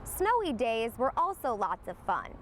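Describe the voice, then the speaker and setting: A woman reading a children's storybook aloud in an expressive storytelling manner. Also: fairly high pitch, normal speed, a little hiss or hum. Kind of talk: scripted storybook narration for young children.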